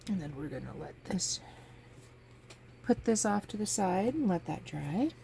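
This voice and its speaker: A woman with a low, heavily gravelly voice talks through a miniature craft project in a relaxed, chatty way. She is a bit sniffly from a cold, and a fan hums faintly behind her. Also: a little slow. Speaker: crafting channel host. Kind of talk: casual craft tutorial. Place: home craft table with a fan running. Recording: faint fan noise in background.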